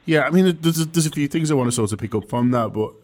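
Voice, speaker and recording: A man's voice: a little high, fast talker, darkish tone. A young adult man from Liverpool, hosting a podcast and asking thoughtful questions hedged with "sort of" and "kind of". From Liverpool, England. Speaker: podcast host. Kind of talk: interview questions.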